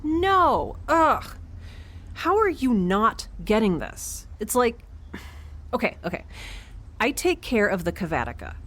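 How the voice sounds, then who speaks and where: A woman's voice: medium pitch, a little hiss or hum. A woman playing a quirky, nervous scientist aboard a spaceship in an audio drama, rambling with sarcastic, self-deprecating humor. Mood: exasperated, insistent. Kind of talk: conversational audio drama acting.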